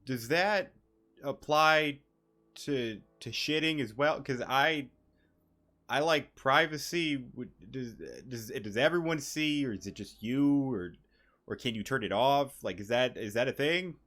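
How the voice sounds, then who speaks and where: A man's voice: mid-range voice, a little slow, a bit bright. A young man streaming a game with casual, slangy, sweary commentary and joking asides. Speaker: gaming streamer.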